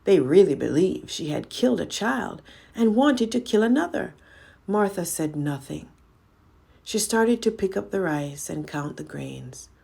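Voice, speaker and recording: A woman storyteller with a low voice and plenty of fry. She narrates a folk tale slowly and dramatically, as a read-aloud. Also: big pitch swings, dark tone.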